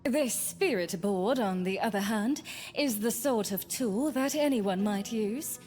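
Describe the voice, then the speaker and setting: A woman's voice: medium pitch, unhurried, darkish tone, soft background music, natural voice. A woman playing an archly amused, haughty spiritualist in a visual novel, with pompous, old-fashioned formal diction. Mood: disdainful. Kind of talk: scripted visual novel character acting.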